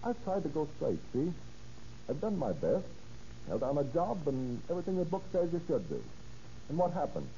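A dull, hissy old radio recording carries a bitter American hoodlum boss. His voice sits fairly high and swings widely as he gives bossy, slangy orders in 1930s crime-drama style. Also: very animated, moderate pace, dark tone. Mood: bitter, scheming. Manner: slangy, tough-talking, bossy. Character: cocky, bitter small-time hoodlum gang leader.

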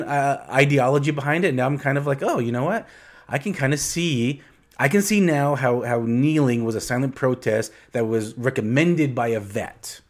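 A man co-hosting a casual podcast, thinking aloud with many restarts and a reflective manner. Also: mid-range voice. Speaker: podcast co-host.

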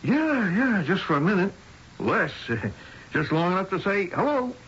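A man's voice: high voice, very animated, measured pace, a bit bright, slightly rough, exaggerated voice. An actor playing a shifty, nervous man under questioning in a 1970s radio drama, hesitant, slangy and repeating himself. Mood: nervously agreeable. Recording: dull, noisy old radio broadcast recording.

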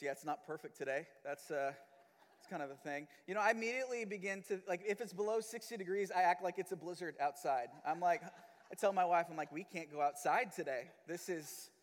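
A young man from the Philippines, a pastor, opening his sermon with casual, rambling chat full of fillers. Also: high voice. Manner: chatty, filler-heavy, self-deprecating. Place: church hall. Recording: long hall reverb.

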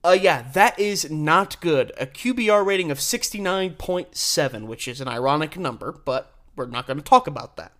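Guy doing solo sports radio commentary, super animated pitch swings, opinionated and punchy, pauses for effect. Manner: opinionated, emphatic, rhetorical pauses and questions.

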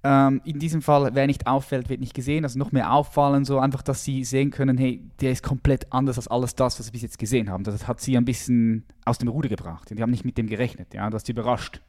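A man's voice: mid-range voice, fairly even intonation, fast, hardly any pauses, a little mellow. A man hosting an interview, enthusiastic, summing up his guest's story with frequent affirmations and some English phrases. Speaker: podcast host. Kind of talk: interview conversation.

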